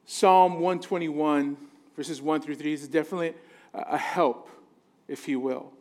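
A man with a high-pitched voice preaches to a congregation. He keeps it informal and conversational, with joking asides, and often turns to the listeners for agreement like a pastor at ease in casual speech. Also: fairly even intonation, measured pace.

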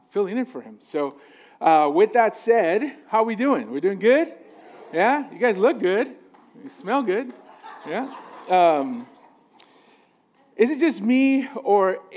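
A man with a high-pitched voice preaches to a congregation. He keeps it informal and conversational, with joking asides, and often turns to the listeners for agreement like a pastor at ease in casual speech.

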